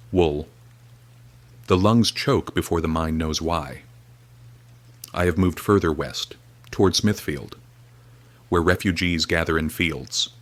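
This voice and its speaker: An actor playing a weary, grim radio reporter, speaking in a solemn, measured, grave way. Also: male voice, low voice, a bit bright, no echo. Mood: somber. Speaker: field reporter. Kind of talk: dramatic period radio reporting.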